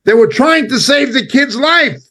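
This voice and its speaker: A man giving impassioned spoken commentary as a preacher, indignant and emphatic, speaking in short halting phrases. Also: very high-pitched, animated, bright, forceful tone.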